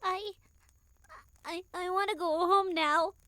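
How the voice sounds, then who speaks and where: A woman playing a timid, excitable little girl camper in a scripted audio drama. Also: very high voice, takes it slowly, no room sound, exaggerated voice. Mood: frightened.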